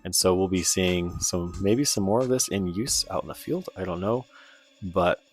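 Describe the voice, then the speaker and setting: A man's voice: low voice, normal speed, faint music behind. A man giving a casual, informal product review in a conversational way. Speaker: presenter of radio gear review.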